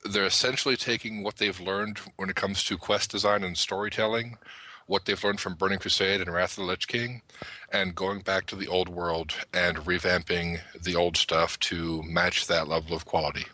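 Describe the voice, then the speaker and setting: A man's voice: low-pitched, level tone, moderate pace, pushed and bright. A man on a podcast panel explaining news in an informative discussion style.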